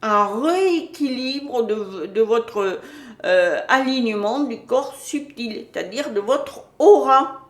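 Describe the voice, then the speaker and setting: A woman's voice: a little high, expressive, slow, echoey room. A woman giving a casual spiritual talk to her viewers, hesitant, with many fillers and restarts. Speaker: spiritual channel host.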